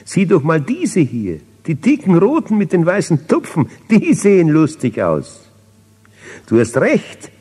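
An older man voicing an excited young child in a bedtime story, exclaiming eagerly. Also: on the high side, measured pace, slightly rough, voice pushed up, exaggerated voice. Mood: eager delight. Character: excited little girl and boy at fairground. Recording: reverberant old cassette transfer.

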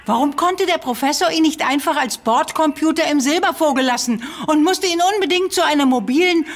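A woman's voice: sits high, brisk. An actress playing a chatty, flustered young woman in a radio play. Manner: rambling, flustered.

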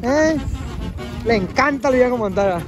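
A man's voice: very high-pitched, animated, a little slow, over loud music. A man filming a rural horseback ride, chatting casually with slang and jokes. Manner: slangy, joking, upbeat. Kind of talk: casual vlog chat. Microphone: handheld phone.

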